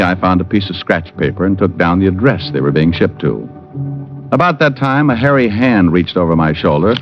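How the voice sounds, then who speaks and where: Flirtatious, smart-aleck 1940s radio gumshoe, lively swooping delivery, music behind a dull vintage recording. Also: male voice, deep voice. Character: wisecracking male private detective narrating his case. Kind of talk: 1940s radio acting, hard-boiled narration.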